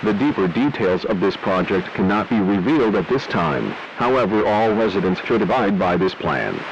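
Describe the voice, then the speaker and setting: Male actor as US president: low, solemn, formal emergency address, muffled broadcast sound. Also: lively intonation, moderate pace. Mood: grave, formal. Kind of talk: scripted emergency broadcast announcement. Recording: dull lo-fi radio broadcast, loud hiss.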